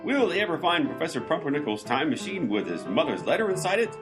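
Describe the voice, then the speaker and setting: A lively, fairly high-pitched serial announcer voiced by a man over plucked string music. He asks theatrical questions with mock drama, in old-time radio style. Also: fast. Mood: mock-dramatic.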